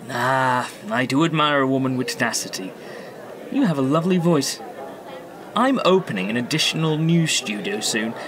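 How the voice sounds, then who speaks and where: Guy playing a smooth gracious senator, flattering, very lively delivery, crowd behind, radio play. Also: medium pitch, moderate pace, close to an everyday voice. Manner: magnanimous, courteous, flattering. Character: genial, smooth-talking senator, beloved world leader. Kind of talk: radio drama acting.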